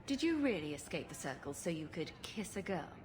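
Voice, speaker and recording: A woman's voice: fairly low pitch, sing-song, a little fast. An actress playing a sarcastic female rogue adventurer in a video game, dry and teasing. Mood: teasing, resigned.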